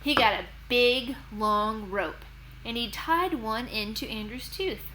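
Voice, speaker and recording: A woman narrating for children as an expressive read-aloud storyteller and host. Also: a little high, takes it slowly, slight background noise, poor audio. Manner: expressive, clearly addressed to children.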